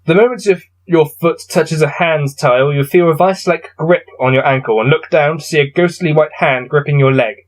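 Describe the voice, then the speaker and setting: A man's voice: fairly high pitch, brisk, hardly any pauses, low-quality recording. A man hosting a casual gamebook playthrough, reading passages aloud and adding wry, self-deprecating asides. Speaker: host playing through a gamebook.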